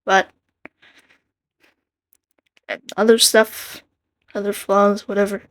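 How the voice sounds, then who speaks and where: A soft-spoken young woman with a quiet voice, giving earnest casual commentary and laughing between thoughts. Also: medium pitch, flat delivery, slow pace. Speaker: art commentary video maker. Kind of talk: casual commentary over a speedpaint.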